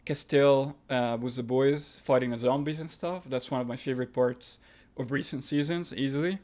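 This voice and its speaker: A man's voice: mid-range voice, fairly even intonation, moderate pace, darkish tone. A man from Egypt with an Egyptian accent, hosting a live stream and reading out viewer questions, then answering them offhand. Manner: reads questions aloud then answers offhand, with fillers and restarts.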